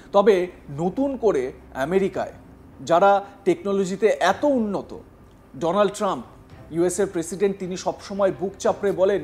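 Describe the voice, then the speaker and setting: A man presenting news narration and commentary, speaking emphatically in deliberate chunks. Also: sits high, darkish tone.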